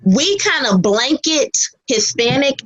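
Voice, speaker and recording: A young woman with a somewhat high voice, talking casually on a podcast. She tells stories in an animated way, often breaking into laughter. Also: slow, dry recording, highs cut off. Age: young adult. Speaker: podcast co-host. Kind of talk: casual chat.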